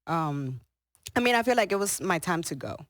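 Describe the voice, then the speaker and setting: A woman's voice: fairly low pitch, very animated, normal speed, no echo, clean studio sound. A young woman from Colombia answering interview questions in a friendly way, laughing easily. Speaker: guest, model and TV host.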